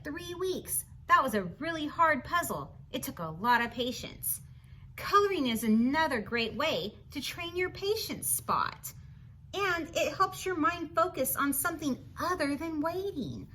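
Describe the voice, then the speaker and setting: A woman reading a picture book aloud to children in an expressive, encouraging, teacherly way, adding chatty asides and questions for the listener. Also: high voice, a little hiss or hum. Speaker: storybook reader for children.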